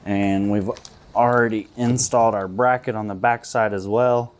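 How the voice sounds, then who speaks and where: A man's voice: on the low side, fairly even intonation, normal speed, smooth. A man working as an audio-video installer gives a casual, plain-spoken product walkthrough outdoors, addressing viewers directly. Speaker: audio-video installer presenting his work. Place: outdoors at a client's house and patio.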